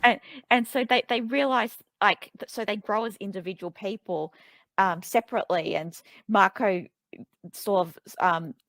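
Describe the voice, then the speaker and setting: A woman's voice: mid-range voice, moderate pace, a bit bright, no room sound. A woman author being interviewed, speaking thoughtfully and hesitantly with frequent ums and restarts. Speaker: author guest. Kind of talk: conversational interview.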